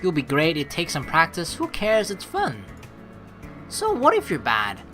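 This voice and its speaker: A woman's voice: low voice, huge pitch swings, measured pace, fairly bright tone. A woman hosting a let's play, chatting informally with rambling asides and reading the game's story text aloud. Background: game music.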